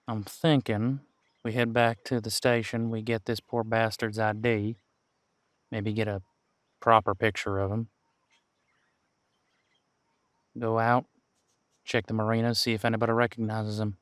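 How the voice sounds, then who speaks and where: Low-voiced, wry seasoned detective, acted by a man. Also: little pitch movement, no room sound, natural voice. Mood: businesslike. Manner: musing, unhurried, folksy colloquial phrasing. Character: seasoned male detective, patient, wry mentor to a rookie. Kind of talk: roleplay audio drama, one-sided conversation.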